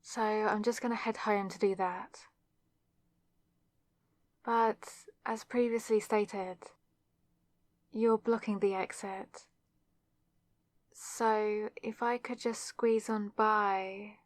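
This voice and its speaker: A woman's voice: mid-range voice, steady tone, slow pace, pauses often, dry recording, natural voice. A young woman playing a flustered, awkward student witch with a wry, self-deprecating manner in an ASMR roleplay, speaking straight to the listener. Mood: embarrassed, sarcastic. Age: young adult. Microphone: close microphone in a dry space.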